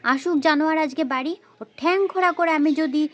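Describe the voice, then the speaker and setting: A woman's voice: high voice, fairly quick, lo-fi sound, lightly acted. A woman playing an exasperated mother who scolds her naughty little girl in a repetitive, threatening way, in an amateur children's story. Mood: exasperated, complaining.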